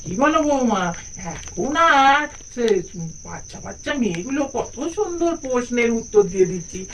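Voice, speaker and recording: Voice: medium pitch, very animated, moderate pace, a bit bright, far from the mic, slight background noise, poor audio. A performer voicing an elderly mother-in-law doll in a puppet story, rambling wistfully about the old days. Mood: wistful, admiring.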